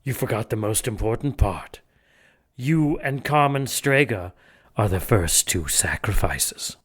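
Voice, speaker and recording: An actor playing a gloating, condescending villain bishop in an audio drama, with a pompous, taunting delivery. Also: male voice, fairly low pitch, lively intonation, a little slow, husky. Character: gloating male villain bishop plotting apocalyptic sacrifice.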